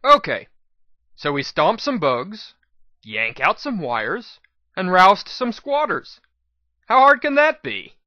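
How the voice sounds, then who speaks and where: A man voicing a brash, trigger-happy female pony gunfighter in an excitable dramatic reading. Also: high-pitched, takes it slowly, no room sound, dull audio, pitched above the performer's own voice, exaggerated voice. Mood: upbeat, cocky. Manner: excitable, loud outbursts. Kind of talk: first-person dramatic reading.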